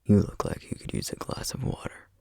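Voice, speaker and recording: A man playing a solemn, weary, duty-bound flame demon in an ASMR roleplay, whispering breathily and haltingly. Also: a little mellow, no echo, studio quality, natural voice. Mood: quiet, hesitant. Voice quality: breathy, husky whisper with gravelly edges. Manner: slow, halting, measured delivery with heavy pauses. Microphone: close microphone, dry sound.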